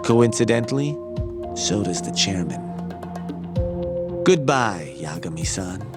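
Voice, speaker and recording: A smooth-talking informant in a game drama. He is sardonic and casually knowing, with a slightly low voice. Music runs under his words. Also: sing-song, slow pace, full character voice. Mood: sly, knowing. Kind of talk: video game cutscene dialogue. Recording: music behind the voice.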